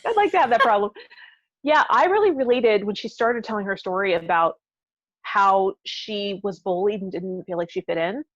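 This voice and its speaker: A woman co-hosting a podcast in casual conversation, speaking thoughtfully and haltingly as she searches for words. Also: mid-range voice, expressive, a bit bright, no room sound, muffled recording. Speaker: podcast co-host.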